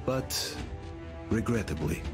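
An actor playing a weary soldier who narrates the opening of a game in a grave, reflective voice, over loud music. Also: male voice, fairly low pitch, lively intonation, slow pace. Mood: solemn. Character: weary male soldier narrating a ruined world.

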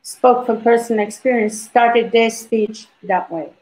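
Older woman, panel founder, fairly high voice, emphatic storyteller over a video call. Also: measured pace, darkish tone, low-quality recording. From Libya. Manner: emphatic storyteller, frequent restarts. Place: indoor home room.

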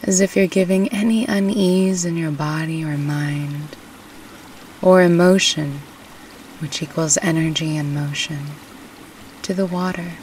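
Woman, low-pitched, soothing meditation guide, very slow pace, gentle instruction. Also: steady tone. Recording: distant mic with faint background noise.

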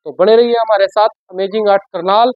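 A man's voice: high-pitched, steady tone, fairly quick. A man presents products in a casual shop-video style. He explains informally, often repeating his phrases. The sound is slightly muffled and lo-fi, with little room echo. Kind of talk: casual product unboxing and sales pitch. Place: shop office with sofa, indoors.

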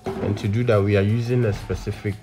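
A man's voice: fairly low pitch, steady tone, fairly quick, warm tone, faint music behind. A man explaining farm work in an instructional voice-over, with frequent ums and okays. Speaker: farming channel presenter.

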